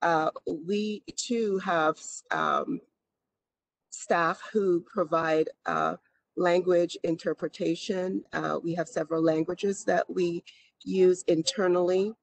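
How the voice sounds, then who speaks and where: A county health department representative speaking on a video call panel, measured, with frequent "uh" pauses. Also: medium pitch, steady tone, creaky, a little hiss or hum, poor audio.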